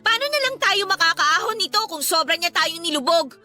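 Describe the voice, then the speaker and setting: A woman's voice: very high voice, expressive, a little fast, bright, forceful tone, no echo, exaggerated voice. A woman playing a distressed, pleading teenage daughter from a poor family in a Tagalog radio drama. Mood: frustrated, weary. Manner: pleading, questioning, respectful to her father.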